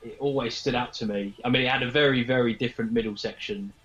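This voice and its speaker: A man with a medium-pitched voice talks casually about playing in a band. The recording is dull and lo-fi. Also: steady tone, quick pace, fairly bright tone. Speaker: band member being interviewed. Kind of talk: casual interview chat. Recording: dull, lo-fi podcast audio.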